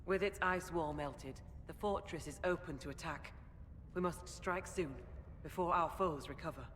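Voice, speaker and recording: A woman's voice: deep voice, normal speed. An actress playing a stern, proud female leader of a hill tribe of warriors in a fantasy video game, speaking tersely and solemnly in formal phrases. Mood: resolute. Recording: echoey cavernous hall, loud ambient noise.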